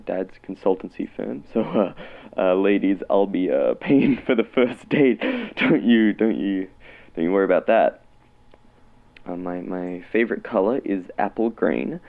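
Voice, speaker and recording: Man acting an awkward lonely young man, comic monologue, low voice, halting, nervous, muffled lo-fi sound, faint music. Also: creaky. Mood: shy, nervous, then eager, awkward. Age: young adult. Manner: halting, stammering, nervous giggles. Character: awkward, nervous 21-year-old lonely man recording a dating video. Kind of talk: comedic character monologue. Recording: dull lo-fi sound.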